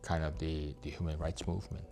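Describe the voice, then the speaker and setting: Very deep older Hong Kong man. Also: level tone, a little fast, mellow, faint music behind. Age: 70s. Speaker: activist interviewee. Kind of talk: reflective interview testimony.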